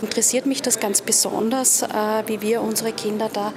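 A woman's voice: on the high side, fairly even intonation, fairly quick. A woman conference participant giving a thoughtful interview answer. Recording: crowd chatter behind.

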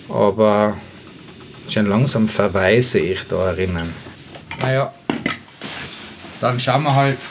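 A man's voice: lowish voice, a little hiss or hum, close to an everyday voice. A man with an Austrian accent playing a worried, resigned ship's cook in a radio play, chatting in a rambling, colloquial way. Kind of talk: conversational radio play monologue.

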